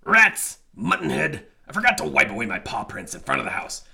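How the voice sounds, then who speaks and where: Big bad wolf: deep, growling, boastful schemer with a gravelly edge, played by a man. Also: huge pitch swings, quick pace, bright, forceful tone, heavily acted. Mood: scheming.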